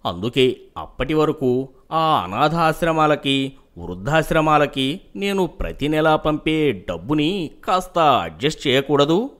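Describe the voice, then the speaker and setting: A man voicing a grave, worried landlord father with strict traditional values in an animated moral story. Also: a little high, unhurried. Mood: measured.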